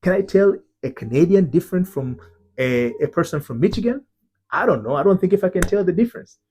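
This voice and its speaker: A man's voice: high-pitched, expressive, brisk, mellow. A man from Tanzania, working as an identity transformation coach, gives an earnest teaching talk, stressing single words and often restarting and repeating himself.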